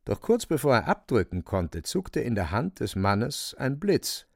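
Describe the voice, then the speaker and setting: A man narrating a literary radio drama in a measured, precise storytelling manner, close on a studio microphone. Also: mid-range voice, big pitch swings, vocal fry, dry recording.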